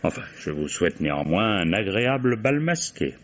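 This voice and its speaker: A shrewd police commissioner with a very low voice. He is measured and mock-polite, quietly ironic in a radio mystery style. The recording is dull and dated. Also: sing-song. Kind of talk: vintage French radio mystery acting.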